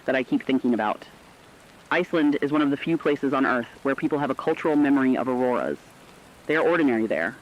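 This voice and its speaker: A male actor as a solemn radio correspondent speaks in a mid-pitched voice with a slightly dull, lo-fi sound. He tells scripted disaster stories in a personal, reflective way, as in a radio drama. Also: close to an everyday voice. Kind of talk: scripted narrative radio storytelling.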